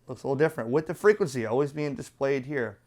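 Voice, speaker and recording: A man's voice: mid-range voice, fast, a little mellow. A middle-aged man giving a casual product review in a chatty, opinionated, colloquial way. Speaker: radio reviewer.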